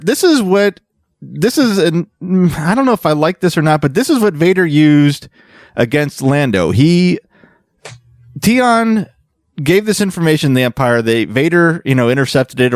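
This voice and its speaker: A man's voice: medium pitch, no room sound, studio quality. A man hosting a fan podcast, telling a story casually in a rambling way that often corrects itself. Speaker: podcast host.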